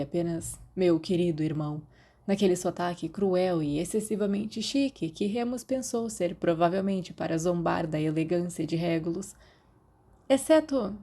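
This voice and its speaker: Mellow Brazilian woman narrating a story. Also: lowish voice, slightly rough. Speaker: podfic narrator.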